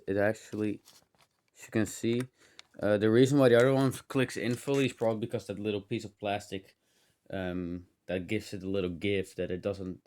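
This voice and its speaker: A man's voice: lowish voice, a little mellow. A man with a slightly dry, strained throat gives casual hands-on commentary, rambling and thinking aloud with muttered asides and mild exclamations. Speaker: host of a hobby electronics teardown video. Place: indoors in a cluttered room at home.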